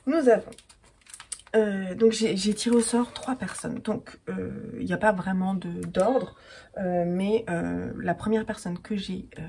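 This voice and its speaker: A woman's voice: fairly low pitch, vocal fry, slight background noise. A woman in her thirties with a French accent, chatting casually to camera at home, a little stuffy from a cold and full of fillers and restarts. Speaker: podcaster and yarn dyer.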